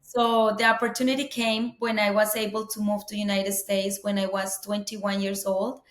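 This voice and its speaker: A woman's voice: mid-range voice, little pitch movement, normal speed, a little hiss or hum. A woman from Colombia, telling her story earnestly as a guest, often saying "you know". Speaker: guest, business owner. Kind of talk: interview conversation. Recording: roomy echo.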